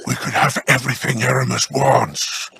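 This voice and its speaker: An actor playing a sly, scheming rogue in a video game, with a gravelly voice and a smug, teasing manner. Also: male voice, medium pitch, level tone, normal speed, sharp tone, slight background noise.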